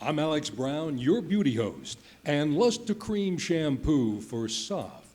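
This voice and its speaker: Man playing 1940s radio announcer, theatrical, salesmanlike, lively swooping pitch, noisy recording. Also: slow. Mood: polished, promotional. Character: smooth-selling radio announcer introducing programs and sponsors.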